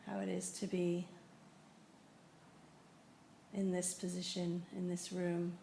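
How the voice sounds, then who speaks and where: A woman teaching a guided meditation, speaking in a gentle, unhurried way with long pauses. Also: on the low side, monotone, gravelly. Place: indoor room in a building. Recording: echoey room with faint noise.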